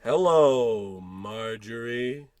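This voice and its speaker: Casting: man to play an unraveling, suspicious husband in a vintage radio thriller; sardonic, theatrical, medium pitch. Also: lively intonation, very slow, heavy background noise. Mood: seething, menacing. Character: paranoid husband unraveling over a piggy bank. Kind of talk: old-time radio suspense acting, narrated monologue.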